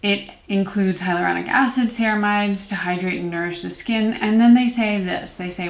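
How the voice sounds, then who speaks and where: A woman in her thirties chatting informally to camera in a casual product review, with self-deprecating asides. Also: on the low side. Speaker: beauty vlogger, series host. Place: small room at home, indoors. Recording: roomy indoor echo.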